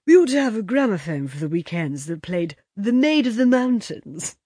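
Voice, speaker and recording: A woman voicing flamboyant, flippant young bohemian men in an audiobook, loud, hearty and affected. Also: fairly low pitch, sing-song, moderate pace, no room sound, clean studio sound. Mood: playful, showy. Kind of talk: audiobook character dialogue.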